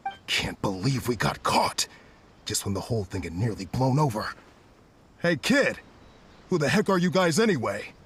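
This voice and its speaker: An actor playing a shifty, stubborn bandit boss in an anime video game, grumbling and defensive in a dramatic way. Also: male voice, medium pitch, very animated, normal speed, pauses often, fairly bright tone, slight background noise. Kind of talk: English-dubbed anime video game acting.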